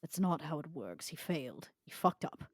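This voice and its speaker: A woman's voice: low-pitched, big pitch swings, normal speed, no room sound, clean studio sound. A woman playing a blunt, scornful, shrewd vampire leader in a tabletop game. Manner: blunt, dismissive.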